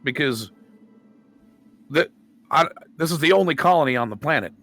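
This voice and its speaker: A man's voice: on the high side, animated, moderate pace, fairly bright tone, soft background music. A man talking casually as a player in a tabletop game, blunt and sweary.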